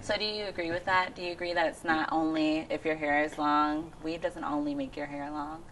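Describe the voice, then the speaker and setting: Female host, slightly low voice, moderating an informal panel; faint background noise. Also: animated, a little fast, a bit bright, poor audio. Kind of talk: moderating a casual group discussion. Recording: noticeable background noise, room ambience.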